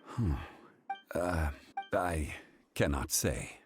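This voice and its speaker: A man voicing an ancient, solemn god in a video game, weary but composed, speaking in a measured, formal manner. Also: sits low, expressive, exaggerated voice. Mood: composed, regretful. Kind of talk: dramatic video game dialogue. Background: music.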